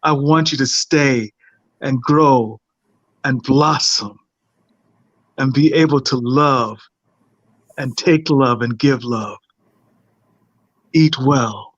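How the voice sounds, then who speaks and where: A man's voice: mid-range voice, no room sound. A man as a tender parent blessing his baby. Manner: slow, soothing, half-sung blessings. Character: tender father figure feeding his baby girl. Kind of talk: improvised playback theatre. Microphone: video call. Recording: dull lo-fi call audio.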